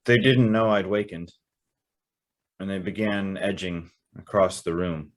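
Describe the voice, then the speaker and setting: A man's voice: sits low, measured pace. A man acting a bewildered, earnest young man who tells and lives his story in a radio drama, a little hesitant. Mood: tense narration. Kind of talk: old-time radio drama acting and first-person narration.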